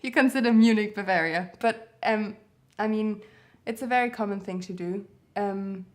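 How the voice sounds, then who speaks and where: A young woman from Germany, a model being interviewed, who talks in a candid, relaxed way and laughs easily. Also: medium pitch, moderate pace. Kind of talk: casual interview chat.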